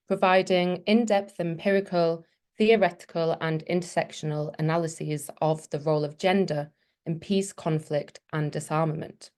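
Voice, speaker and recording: A woman with a fairly low voice delivers a prepared academic talk. She moves through her points in a measured, formal way, setting them out one by one. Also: darkish tone. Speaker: webinar presenter.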